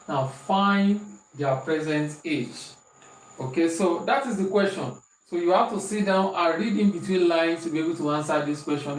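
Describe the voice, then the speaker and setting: Nigerian maths teacher going slow over examples, repeats himself a lot, roomy sound with faint hiss. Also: male voice, on the high side, recorded from a distance. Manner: slow, repeats questions and calls out students' answers. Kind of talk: online maths lesson, working through examples. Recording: echoey room, voice off-mic, faint hiss.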